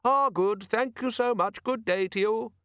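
A man acts out a courteous gentleman inventor for a comic storybook, pitched well up high. He chatters with polite enthusiasm and seems cheerfully oblivious to everything. The sound is dry and close. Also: sing-song, normal speed, no room sound. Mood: courteous, unruffled.

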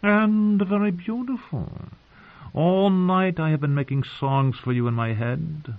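A man's voice: a little high, measured pace, phone-quality sound, voice pushed up. A man voicing a lecherous, tipsy young singer who courts in florid, wheedling phrases in an audiobook story. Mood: oily, amorous.

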